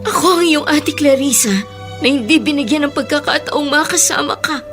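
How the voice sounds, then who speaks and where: A woman's voice: high voice, normal speed, music in the background, close to an everyday voice. A woman playing a hurt, insistent and protective elder sister in a Filipino radio drama, with emphatic pleading delivery. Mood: anguished, pleading.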